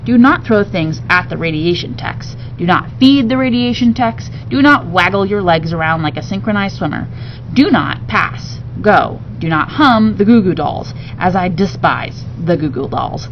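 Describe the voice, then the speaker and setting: A woman voicing a brisk, joking male radiation technician from a children's novel, giving deadpan, teasing instructions. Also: lowish voice, highs cut off, slight background noise. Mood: deadpan, instructive. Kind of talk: children's novel read aloud.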